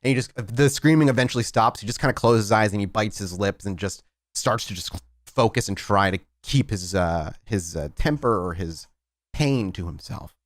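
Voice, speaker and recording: A man running a tabletop game, improvising narration in a descriptive, halting way with dramatic pauses. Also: on the low side, expressive, dry recording, clean studio sound. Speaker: game master.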